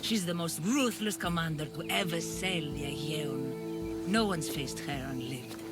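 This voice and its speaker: A woman's voice: deep voice, measured pace. An actress playing an earnest, guarded village woman who shelters refugees, telling things dramatically in a video game scene over music. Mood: earnest foreboding.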